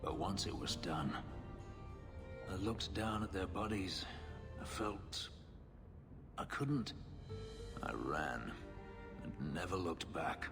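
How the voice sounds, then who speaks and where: A very low, brooding voice of an escaped slave in a fantasy game. He speaks somberly, in measured and halting phrases, with music clearly playing beneath him. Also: expressive, exaggerated voice. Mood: haunted regret. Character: brooding male former slave recounting a painful escape. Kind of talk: dramatic video game voice acting. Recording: game dialogue with music underneath.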